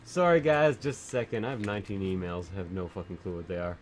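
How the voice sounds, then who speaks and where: A man's voice: deep voice, expressive, quick pace. Canadian man, casual game stream chat, joking and swearing, faint music behind. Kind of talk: casual chat while gaming.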